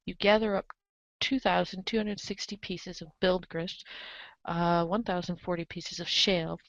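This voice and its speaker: A woman's voice: lowish voice, no echo. A woman narrating a scripted reader's theater show in the second person, with a theatrical, wry delivery. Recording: dry, dull lo-fi recording.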